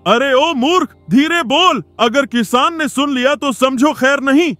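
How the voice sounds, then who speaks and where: A cunning female fox in a cartoon, all sarcasm and scolding. Her voice sits very high, and she draws out her taunts at a slow pace. Light music plays behind. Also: sharp tone, heavily acted. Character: sly clever female fox who mocks her friend. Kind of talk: cartoon voice acting.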